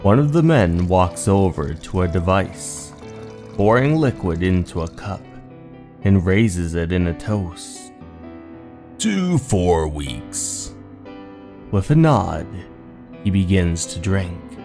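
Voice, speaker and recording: Deep, slow male horror story narrator. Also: expressive. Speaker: narrator, channel host. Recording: music behind the voice.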